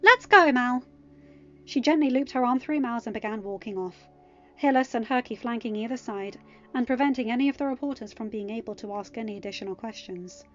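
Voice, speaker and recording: A woman's voice: fairly high pitch, fast talker, darkish tone, muffled recording, soft background music. A woman narrating a scripted piece of fiction as an audiobook reader.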